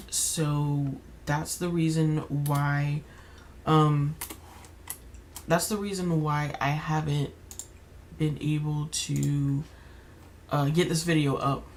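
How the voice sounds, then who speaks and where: A young woman with a slightly raspy voice, chatting casually while playing a life-sim game, rambling with many fillers and restarts. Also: low voice, steady tone, very slow, long pauses, slight background noise. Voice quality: slightly raspy, keeps clearing throat. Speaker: gaming YouTuber. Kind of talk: casual gameplay commentary.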